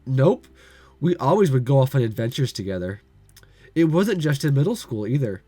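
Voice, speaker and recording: A man's voice: mid-range voice, sing-song, moderate pace, warm tone, slightly rough, no room sound. A man reading the part of an easygoing, unashamed young man in a casual, plain way.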